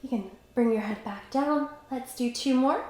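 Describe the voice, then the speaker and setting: A woman's voice: sits high, moderate pace. Encouraging woman with a cold, echoey room. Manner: calm, encouraging, talks while exercising. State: has a cold, slightly stuffy. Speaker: pilates instructor. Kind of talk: guided workout instruction. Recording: very echoey room, faint background noise.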